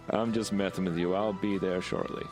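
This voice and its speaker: Laconic merc for hire , voiced by a man, gruff and wry, lowish voice, tabletop game, music behind. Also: normal speed, lightly acted. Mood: amused. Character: stern, wry cyberpunk mercenary man for hire.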